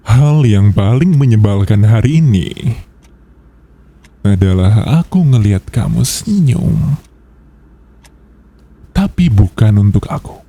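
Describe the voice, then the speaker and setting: An Indonesian man playing a possessive, jealous yandere boss in an intimate ASMR roleplay, soft and husky, teasing and controlling with a menacing sweetness. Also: on the low side, lively intonation, heavily acted. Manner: slow, teasing, controlling, with drawn-out pauses.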